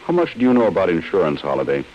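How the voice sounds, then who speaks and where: Stern, very deep-voiced investigator. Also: male voice, very animated. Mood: cold, commanding. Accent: American. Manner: stern, commanding, deliberate. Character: cold, relentless male chief insurance investigator. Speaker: actor in a radio detective serial. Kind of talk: old-time radio acting. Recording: muffled, hissy old radio broadcast.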